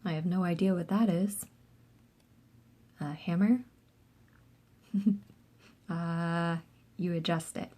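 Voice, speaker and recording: A woman reading a children's book aloud in an expressive, animated storytelling style with short asides. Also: lowish voice, takes it slowly, pauses often. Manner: animated, acts out dialogue.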